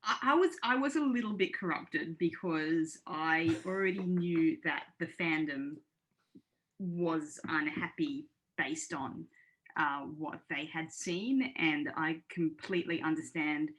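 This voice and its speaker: A woman speaking casually as a podcast panellist, in a measured and candid way. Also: low-pitched, a bit bright, low-quality recording.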